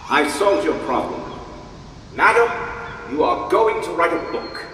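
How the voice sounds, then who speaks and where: A man's voice: fairly high pitch, big pitch swings, measured pace, pushed and bright, full character voice. Blustering ghostly sea captain, played by a man, echoing hall. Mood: grandly scheming. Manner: blustering, sardonic, nautical oaths. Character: gruff, bossy ghost of a salty sea captain. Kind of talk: theatrical audio drama acting. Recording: echoey hall, distant mic, noisy.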